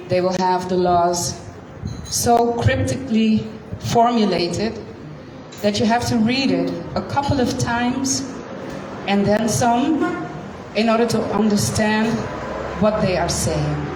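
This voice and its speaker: Slow-paced woman in an echoey room. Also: medium pitch. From Curacao. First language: Dutch. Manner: slow, deliberate, with frequent pauses. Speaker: guest speaker. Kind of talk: storytelling talk to a live audience. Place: event room. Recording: echoey room, distant mic, noisy.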